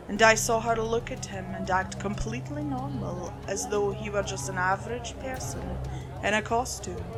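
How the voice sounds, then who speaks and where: A woman reading a scripted first-person creepypasta story as narrator. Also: medium pitch. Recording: music behind the voice.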